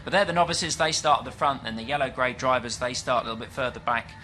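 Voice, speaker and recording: A British man calling a stock car meeting live, talking his listeners through grades and results in a chatty, explanatory way with plenty of fillers. His voice is bright and forward, at a middling pitch for a man. A faint hiss of noise sits under the track recording. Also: steady tone, fast. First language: English. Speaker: stock car race commentator. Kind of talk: live race commentary. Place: at a dirt track event.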